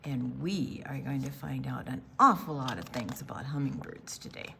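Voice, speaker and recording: An older woman reading a picture book to children as a storytime presenter, with deliberate storyteller phrasing and dramatic pauses. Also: very deep voice, creaky, fairly bright tone, slight background noise.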